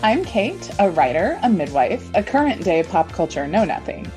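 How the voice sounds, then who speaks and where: A woman's voice: lowish voice, big pitch swings, normal speed, darkish tone, soft background music. A woman in her forties co-hosting a podcast, telling stories in a casual, conversational way. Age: 40s. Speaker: podcast co-host. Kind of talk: casual chat with a friend, some scripted intro.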